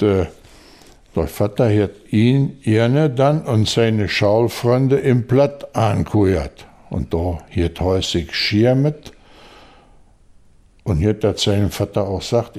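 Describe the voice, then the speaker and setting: An older man, telling a story slowly in an interview, halting with fillers and restarts. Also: lowish voice, dark tone. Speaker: local dialect enthusiast.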